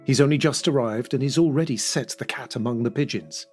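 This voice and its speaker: An actor playing a knowing, urbane storyteller who addresses the listener in scripted audio drama narration, measured and confiding. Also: male voice, medium pitch, over music, natural voice. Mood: knowing, intrigued.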